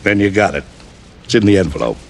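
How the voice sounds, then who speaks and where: An actor playing a reformed old miser in an old radio drama, brash and fast-talking with tough-guy slang. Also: sits low, lively intonation. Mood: gruffly kind. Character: reformed old miser Scrooge, giddy and generous. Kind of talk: 1950s radio drama acting.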